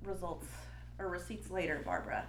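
A congested woman co-hosting a podcast in casual chat, blunt and quick to swear and laugh. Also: fairly low pitch, big pitch swings, very noisy. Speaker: podcast co-host.

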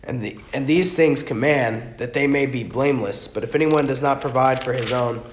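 A man with a hoarse, worn-out voice, teaching an informal church class in a soft, rambling way that corrects itself often. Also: medium pitch, normal speed. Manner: rambling, self-correcting, talks softly. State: hoarse, lost his voice. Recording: muffled, echoey room with faint noise.

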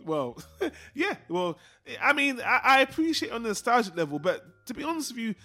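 A man's voice: very high voice, big pitch swings, normal speed, sharp tone, faint music behind. A man co-hosting a casual film discussion, rambling and repeating himself for emphasis. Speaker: podcast co-host.

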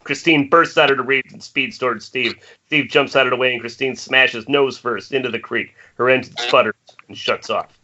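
Bright, forceful man narrating a screenplay. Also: mid-range voice, normal speed. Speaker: narrator reading stage directions. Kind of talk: scripted narration at amateur table read. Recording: dull lo-fi Skype call, faint noise.

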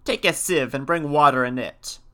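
Raging, commanding folktale witch, acted out by a male storyteller, loud and vengeful. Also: fairly high pitch, normal speed, close to an everyday voice. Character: band of raging horned witches, shrieking demands.

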